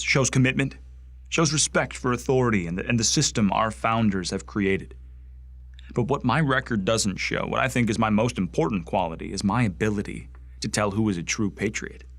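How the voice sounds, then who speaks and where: An actor playing a bitter, zealous young Martian recruit in a science fiction radio play, speaking with earnest, deliberate contempt. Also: male voice, fairly low pitch, a bit bright, no room sound. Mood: proud, contemptuous. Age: young adult. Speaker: actor in an audio drama.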